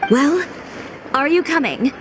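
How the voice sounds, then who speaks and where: A woman voicing a proud, sharp-tongued young fashion designer in a video game, cool, clipped and self-assured. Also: sits high, expressive, takes it slowly, noisy background. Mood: resolute. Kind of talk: animated game dialogue. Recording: music behind the voice.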